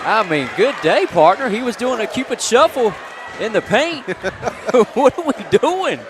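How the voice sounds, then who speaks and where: A man's voice: very high-pitched, animated, unhurried. A man giving casual live color commentary on a basketball game, with joking and exclamatory reactions. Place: high school basketball gym. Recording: loud crowd and noise in gym. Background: loud crowd noise, basketball bounces.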